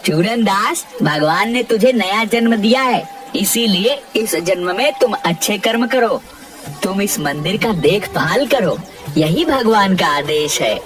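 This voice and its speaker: A woman's voice: mid-range voice, lively intonation, a little slow, recorded from a distance, over music, lightly acted. A woman voicing a stern, righteous male village elder in a cartoon moral tale, solemn and admonishing.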